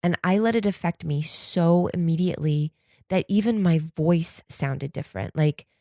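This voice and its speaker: A woman's voice: fairly low pitch, normal speed, dark tone, no room sound, studio quality. A congested woman, starting to lose her voice, rambling candidly and casually as a solo podcast host, slangy and conversational. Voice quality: stuffy, congested nose. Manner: candid, conversational, slangy, acts out imagined quotes.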